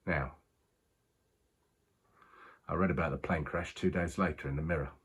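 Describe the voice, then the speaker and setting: An actor playing a rueful working-class racing man, husky, confiding a strange story in a halting, colloquial monologue. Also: a bass voice, steady tone, fairly bright tone, exaggerated voice. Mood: sombre, hesitant. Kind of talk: dramatic monologue, conversational storytelling.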